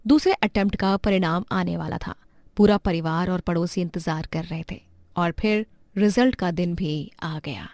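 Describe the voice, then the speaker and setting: Woman narrator for a story video, measured delivery, dry close sound. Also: on the low side, darkish tone, no room sound.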